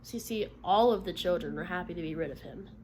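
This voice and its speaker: A woman reading a picture book aloud to children like a teacher, expressively, pausing to put encouraging questions to her listeners. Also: lowish voice, darkish tone, slight background noise, poor audio. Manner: encouraging, checks understanding with frequent questions. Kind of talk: interactive storybook read-aloud with questions to the listeners.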